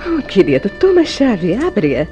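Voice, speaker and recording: Noiva brasileira evasiva de radionovela, entonação muito variada. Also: female voice, mid-range voice, huge pitch swings, normal speed, mellow, soft background music. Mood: airy, evasive. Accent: Brazilian. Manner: theatrical, formal diction. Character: composed, evasive young bride Gilda, lightly amused. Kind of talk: vintage Brazilian radio soap acting.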